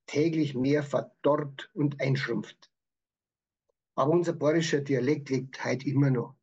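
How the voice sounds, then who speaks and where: A man's voice: a little high, creaky, darkish tone, breathy edge, dull audio. A man with a Bavarian accent, explaining things in prepared answers as an author being interviewed. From Bavaria, Germany. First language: Bavarian dialect.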